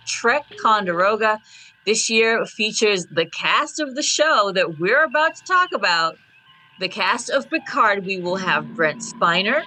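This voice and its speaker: A woman with a bright, forward voice at a medium pitch. She talks casually as a radio guest and sounds enthusiastic as she promotes events. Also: low-quality recording. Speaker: podcast co-host, show guest.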